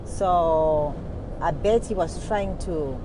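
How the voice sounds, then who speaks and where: A woman's voice: sits low, slow pace. A middle-aged woman with a Zimbabwean accent, casually telling a personal story to her vlog viewers from a moving car, with lots of "you know" asides. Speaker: vlogger. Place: inside a moving car on a highway. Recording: road noise from a moving car.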